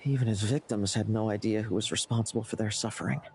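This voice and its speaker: A man's voice: on the low side, quick pace, a little mellow, faint music behind, lightly acted. A man playing a cocky, teasing, irreverent younger warrior in a video game, with a breezy, wry delivery. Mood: reassuring, bitter. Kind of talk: video game character acting.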